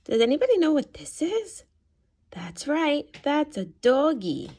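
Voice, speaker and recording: A woman reading a picture book aloud to young children in an animated, expressive way, with gasps, questions and encouraging praise. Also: high voice, a little slow. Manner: animated, expressive, sing-song. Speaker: children's read-aloud storyteller. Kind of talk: interactive picture-book read-aloud for toddlers.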